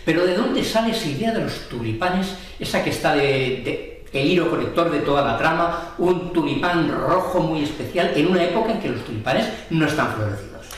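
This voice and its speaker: A man in his fifties hosting a book channel, presenting and asking interview questions in a lively, upbeat way. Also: on the high side, normal speed. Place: home room with plants. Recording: echoey room, faint background hum, heard from a distance.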